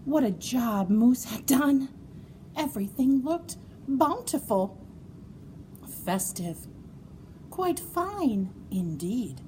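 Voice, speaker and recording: A woman reading a children's story aloud in an expressive, playful way, with dramatic emphasis and drawn-out words. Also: high-pitched, a little hiss or hum. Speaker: storybook reader.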